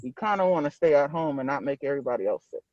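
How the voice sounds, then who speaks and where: A man's voice: fairly high pitch, lively intonation, very fast, a little mellow, no room sound, dull audio. A man hosting a casual story time, reading aloud and putting questions to his audience from inside a car.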